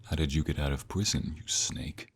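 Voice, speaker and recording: A man playing a protective captive ex-mobster in an audio roleplay, earnest and emotional, with a husky, breathy voice. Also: extremely low pitch, fairly even intonation, moderate pace, heavily acted. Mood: bitter. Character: protective captive ex-mobster, devoted to his fiancée. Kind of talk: scripted audio roleplay acting, ASMR.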